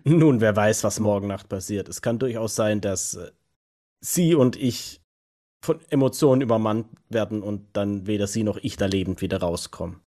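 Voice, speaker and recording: A man playing a resolute vampire in a role-play audio drama, speaking in a measured, matter-of-fact way. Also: lowish voice, dark tone, lightly acted. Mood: brooding. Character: Nick, resolute male vampire with a dog.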